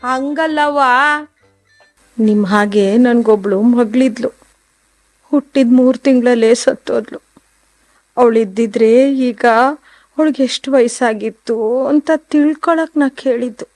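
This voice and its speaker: A woman's voice: on the high side, unhurried, pauses often, warm tone, dry recording, lightly acted. An actress voicing a chatty, sly old village woman who sells dung cakes, speaking in a rustic dialect and sweet-talking as she counts. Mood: sad coaxing. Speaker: dung-cake seller. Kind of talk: radio drama acting.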